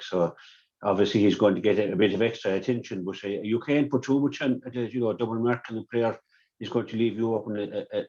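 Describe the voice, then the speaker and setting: A man's voice: lowish voice, warm tone. A man giving a sports preview on radio, stumbling and repeating words as he talks. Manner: halting, many repeated words and restarts. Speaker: sports analyst. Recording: dull lo-fi radio sound.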